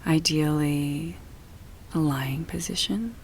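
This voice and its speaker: A woman guiding a relaxation meditation in a soft, breathy, often near-whispered voice, soothing and full of long pauses. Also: low voice, a little hiss or hum. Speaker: yoga nidra meditation guide.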